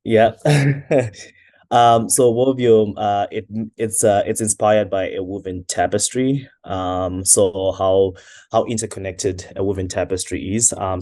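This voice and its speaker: A young man from Zimbabwe, a startup co-founder, answering interview questions in a measured, hesitant way with frequent uhs. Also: deep voice, mellow, dry recording, clean studio sound.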